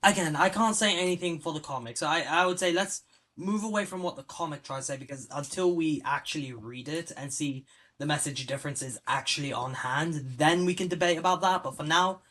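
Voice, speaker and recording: A man with a somewhat high voice hosts a casual podcast debate about a film. He speaks in loose, unscripted talk, restarts his phrases and keeps trying to move the argument along. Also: a little fast, a bit bright. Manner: talks in restarts, steers the discussion. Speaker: podcast host.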